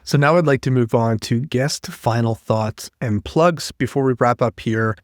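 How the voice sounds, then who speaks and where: Male podcast host, friendly and conversational, mid pitch, dry studio sound. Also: a little slow, dry recording. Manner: friendly, conversational, reads out resource details. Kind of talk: interview hosting with scripted info segments.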